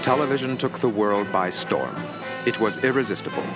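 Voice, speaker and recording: Man, narrator, low voice, lively delivery, grave mock-documentary tone, music underneath. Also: moderate pace. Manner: grave, dramatic.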